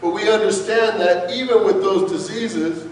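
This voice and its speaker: A man lecturing with passion and emphasis, his voice pitched a little high for a man. He is picked up from far off, and the sound rings through a large, echoey hall. A faint hiss sits under it. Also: moderate pace. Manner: impassioned, emphatic, asks rhetorical questions. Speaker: guest speaker. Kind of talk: lecture to an audience.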